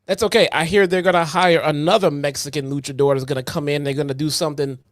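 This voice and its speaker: A man's voice: on the high side, fairly even intonation, fast talker, no echo. A man from Chicago, co-hosting a wrestling podcast with dry, teasing remarks. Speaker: podcast co-host. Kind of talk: casual chat.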